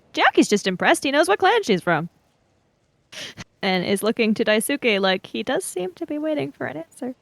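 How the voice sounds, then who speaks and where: A woman with a fairly high voice and noticeable vocal fry plays a tabletop roleplaying game. She casually describes her character's actions, recorded very dry. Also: very animated, normal speed, fairly bright tone, no echo.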